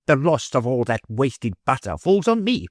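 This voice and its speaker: A man's voice: medium pitch, very animated, normal speed, dry recording, clean studio sound, natural voice. A man reading aloud, voicing a cunning middle-aged official who growls and schemes. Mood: angry. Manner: growling, sly.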